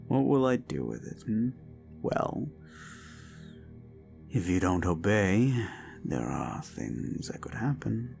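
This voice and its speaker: Deep, slow, paranoid yandere prince, soft menace and whispers; gravelly, animated delivery. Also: male voice, heavily acted. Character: unhinged possessive young prince, paranoid. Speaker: voice actor. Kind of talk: audio roleplay drama. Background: faint dark music.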